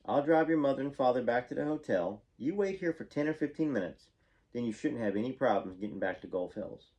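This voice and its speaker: A man's voice: medium pitch, animated, a little fast, a little mellow, natural voice, rough recording. A man reading the lines of a helpful, genial male fan in a story. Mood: reassuring, obliging. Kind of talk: acted dialogue in a story reading.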